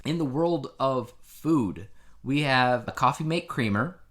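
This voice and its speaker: A man's voice: medium pitch, lively intonation, measured pace, fairly bright tone. Casual male podcast host. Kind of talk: casual news chat.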